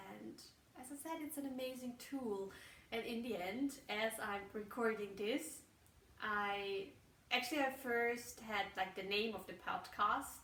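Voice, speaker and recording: A woman's voice: mid-range voice, fairly bright tone. A woman with a German accent, a native German speaker, hosting her own podcast in a casual, reflective monologue, musing and searching for words, often restarting her sentences. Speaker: podcast host. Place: outdoors while walking. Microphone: phone voice memo held away. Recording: roomy, distant phone memo with faint ambience.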